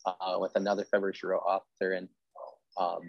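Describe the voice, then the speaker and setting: Male interview host, low voice, effusive and conversational, dry but slightly muffled lo-fi recording. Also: completely flat delivery, a little fast, gravelly, dry recording. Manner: effusive, conversational, heavy use of "like". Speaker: history teacher. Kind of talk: casual interview chat.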